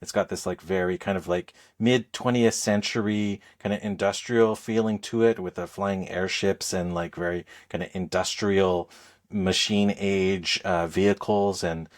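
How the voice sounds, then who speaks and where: A man's voice: deep voice, fairly even intonation, a little slow. A man hosting a podcast in casual chat, phrasing his thoughts in a hesitant, thoughtful way. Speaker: podcast host.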